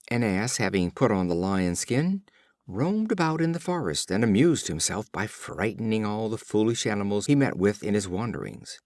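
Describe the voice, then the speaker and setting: Low-pitched man narrating fables with lively intonation. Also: no echo, studio quality. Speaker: audiobook reader. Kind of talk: scripted storybook narration of fables.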